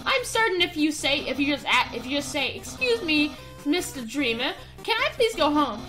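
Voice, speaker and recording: A woman's voice: high-pitched, big pitch swings, normal speed, sharp tone, soft background music, exaggerated voice, rough recording. A woman voicing a boastful, friendly and naive male skeleton from a game, announcing things theatrically.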